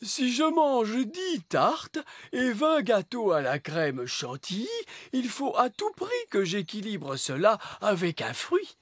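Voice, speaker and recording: A gluttonous little gnome from a children's cartoon. The voice is husky and swings up and down, taking its time as it drones happily on, very pleased with itself. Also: mid-range voice, huge pitch swings, slow pace, hardly any pauses, no room sound, clean studio sound, exaggerated voice. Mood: self-satisfied, chatty. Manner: long-winded, self-satisfied, talkative. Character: chatty, gluttonous, tiresome gnome camper. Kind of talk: animated cartoon acting.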